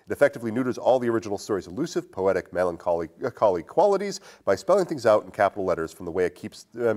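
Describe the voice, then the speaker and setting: A man's voice: lowish voice, darkish tone. A man co-hosting a podcast, talking through a film review in a casual, conversational way and thinking aloud. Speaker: podcast co-host.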